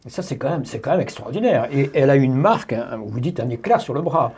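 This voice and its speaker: A man's voice: mid-range voice, animated, quick pace, a little mellow, husky. A male radio co-host asking a guest curious, emphatic questions. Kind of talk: radio interview.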